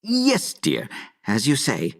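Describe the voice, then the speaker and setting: An actor playing a timid, stuttering but loyal fighter in a video game. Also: male voice, medium pitch, huge pitch swings, slow, recorded from a distance, full character voice. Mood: meek. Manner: nervous stutter.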